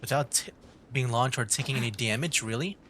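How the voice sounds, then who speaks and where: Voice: medium pitch, a little fast, fairly bright tone, a little hiss or hum. A streamer giving casual gameplay commentary in a chatty way, reacting aloud while playing. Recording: music behind.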